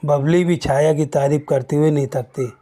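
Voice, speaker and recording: Mellow-voiced storyteller reading a family tale. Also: medium pitch, steady tone, normal speed. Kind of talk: narrating a dramatic moral family story.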